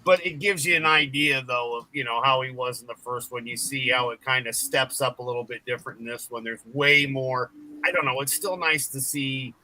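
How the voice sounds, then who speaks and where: A man joining a casual group discussion over a video call, rambling with halting restarts. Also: medium pitch, pushed and bright, poor audio. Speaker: podcast guest.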